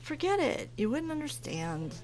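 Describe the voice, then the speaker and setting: An actress playing a frustrated, disapproving wife of thirty in a horror audio drama. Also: female voice, sits high, big pitch swings, normal speed, soft background music. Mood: resentful. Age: 30s. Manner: reproachful, emotional. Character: frustrated thirty-year-old wife, disapproving, easily upset friend.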